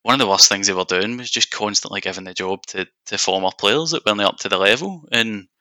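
A man's voice: lowish voice, fast talker, pushed and bright, no echo, studio quality. A young man with a Scottish accent, speaking as a podcast guest and football fan in casual chat. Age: young adult.

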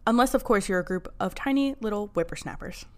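Relaxed chatty woman podcaster. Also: mid-range voice, fast talker. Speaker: podcast co-host. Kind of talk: casual chat and scripted recap narration.

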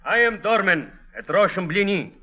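A man's voice: high voice, expressive, normal speed, highs cut off. A man playing a foreign doorman speaking clipped broken English in a 1940s radio comedy. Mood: matter-of-fact. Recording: noisy vintage radio recording.

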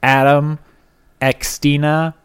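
A man's voice: a little high, little pitch movement, clean tone. Joking man co-hosting a chat podcast. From Alabama. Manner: joking, quick banter, reads listener reviews aloud. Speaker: podcast co-host. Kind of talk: casual comedic chat.

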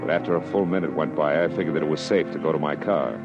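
A man's voice: very deep voice, fast. An actor playing a wisecracking hardboiled private detective in a classic radio drama, speaking and narrating in wry, slangy tough-guy style. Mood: tense narration. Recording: dull old radio recording with music behind.

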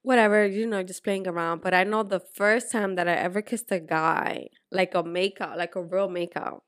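A young woman from the Dominican Republic co-hosting a podcast, telling frank, profane stories in casual chat with quick asides. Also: lowish voice, fairly even intonation, no echo, studio quality. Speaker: podcast co-host.

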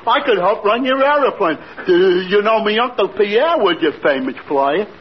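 An actor playing a dim-witted, good-natured teller of tall tales in old-time radio comedy, earnest and folksy. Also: male voice, high voice, animated, normal speed. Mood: earnest, hopeful. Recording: muffled noisy vintage radio recording.

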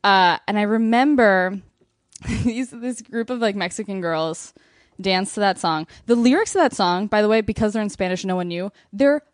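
A woman's voice: mid-range voice, moderate pace, dry recording. Chatty young woman with a dry sound. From Argentina. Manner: chatty, many fillers, animated storytelling. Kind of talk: casual chat.

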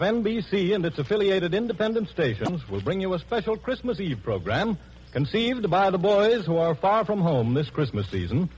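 An American man making a formal announcement as a 1940s radio announcer. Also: high voice. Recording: dull, husky-sounding 1940s radio recording.

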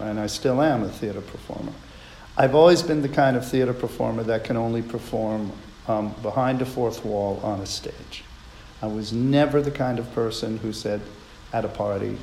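A man's voice: mid-range voice, darkish tone. Man, earnest storyteller, reflective, roomy room sound, faint hiss. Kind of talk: personal story told on a radio show.

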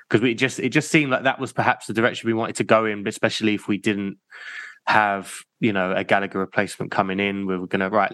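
A hesitant co-host who talks football while thinking aloud, with plenty of fillers. His slightly low voice sounds close and dry. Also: a bit bright, no room sound. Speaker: podcast co-host. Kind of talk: casual football chat.

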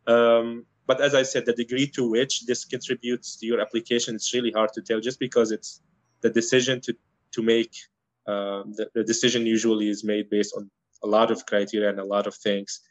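A man from Syria, a native Arabic speaker, answering interview questions in a measured, thoughtful way with frequent pauses and restarts. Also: mid-range voice, flat delivery, dry recording. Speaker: guest, internal medicine resident.

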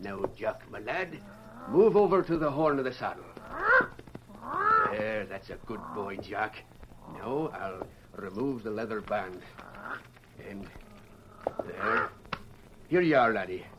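An actor playing a kindly old Scottish falconer with a thick accent, in 1940s radio acting style. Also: male voice, lowish voice, lively intonation, takes it slowly, long pauses. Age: older. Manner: hearty, burring, fatherly. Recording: dull old radio recording with faint hiss.

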